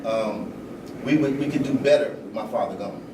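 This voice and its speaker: A man giving a personal account in an informal discussion, in short, halting phrases with pauses. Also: on the low side. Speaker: panel speaker. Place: large room at a live event. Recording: roomy, distant mic, clear background noise.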